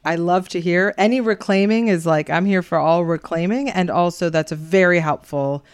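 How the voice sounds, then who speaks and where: A woman's voice: on the low side, moderate pace, clear, resonant tone. A woman co-hosting a podcast, introducing a guest and asking thoughtful, conversational questions. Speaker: podcast co-host. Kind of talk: casual podcast interviewing.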